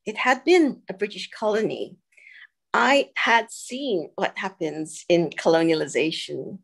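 An older woman from Singapore, giving a reflective presentation over a video call, pausing often with fillers. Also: mid-range voice, lively intonation, creaky, slightly rough. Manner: reflective, halting with many fillers. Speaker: guest speaker.

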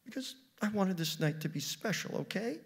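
A man reading a story, voicing a demanding, sharp-tongued wife with an emphatic, incredulous manner. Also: fairly high pitch, sing-song, a little fast, husky, exaggerated voice. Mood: tearful. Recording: reverberant hall with long echo.

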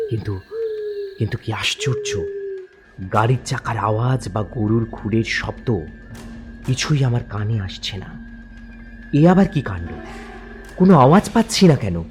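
Slow, suspenseful male horror storyteller. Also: medium pitch, darkish tone. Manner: suspenseful, halting and stammering in tense moments. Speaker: narrator. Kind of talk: dramatic first-person horror story narration.

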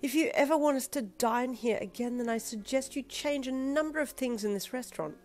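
A woman's voice: high voice, fairly quick, darkish tone, faint music behind, voice pushed up, natural voice. A woman voicing a petty, entitled woman diner who complains haughtily and draws out her words. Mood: haughty.

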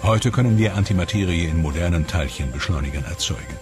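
A man reading scripted documentary voice-over in a measured, grave and dramatic way. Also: low-pitched, expressive. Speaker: documentary narrator. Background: music under the voice.

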